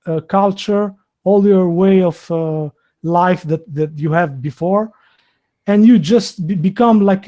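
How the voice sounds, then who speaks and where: A man in his thirties from Albania, a native Albanian speaker with an Albanian accent, hosting a live online video interview in a halting, hesitant way with many fillers and restarts. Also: high-pitched, dark tone. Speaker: host.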